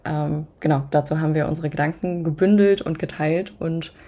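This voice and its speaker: Woman, 25, low voice, warm mellow tone, thoughtful rambling answers. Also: steady tone, moderate pace. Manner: reflective, long winding sentences. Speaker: podcast guest. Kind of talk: casual interview talk.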